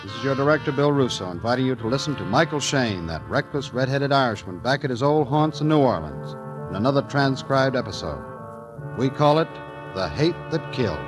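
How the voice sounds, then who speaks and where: An American man introduces a vintage radio drama over loud music. His delivery is dramatic, with pitch that moves widely. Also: expressive, a little fast. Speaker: show director and announcer. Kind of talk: 1940s radio announcing, dramatic.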